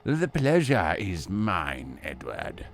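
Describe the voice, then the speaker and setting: Audio drama bureaucrat, self-important, deep-ish and animated, ponderous delivery, faint background noise. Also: male voice, slow, full character voice. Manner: pompous, ponderous. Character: pompous male official spouting state propaganda. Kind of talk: audio drama interview acting.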